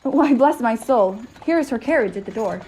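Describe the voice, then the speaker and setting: A woman's voice: fairly high pitch, animated, normal speed, a little mellow, a little hiss or hum, lightly acted. A woman playing a smug, sly male police inspector in an amateur audio drama, formal and condescending.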